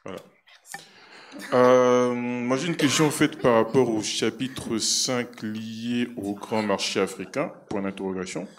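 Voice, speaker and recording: A man in his fifties asking a question from the floor at a conference, halting and full of fillers. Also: medium pitch, fairly even intonation, slight background noise. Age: 50s. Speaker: audience member asking a question.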